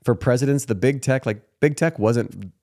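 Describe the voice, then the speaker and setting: A man's voice: medium pitch, normal speed, darkish tone. A man in his thirties hosting a podcast in an informal, slangy, easygoing conversational way. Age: 30s. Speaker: podcast host. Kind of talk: casual podcast chat.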